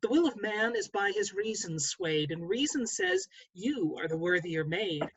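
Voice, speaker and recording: Woman as lovestruck young nobleman, husky, very animated pitch, impassioned, declaimed stage verse. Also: unhurried. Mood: wooing. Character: ardent, fickle young nobleman in love. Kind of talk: stage verse read aloud at a table read. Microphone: video call.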